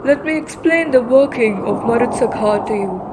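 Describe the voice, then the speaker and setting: A woman's voice: on the high side, lively intonation, normal speed, noisy background, natural voice. A woman playing an earnest, proud Indian male inventor in a school puppet show, explaining things like a storyteller.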